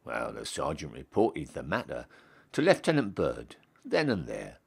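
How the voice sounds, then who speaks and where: A deep-voiced man with a Northern English accent recites a comic monologue. His delivery is slow and dramatic, with huge swings of pitch and a husky edge. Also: huge pitch swings. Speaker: reciter, town crier.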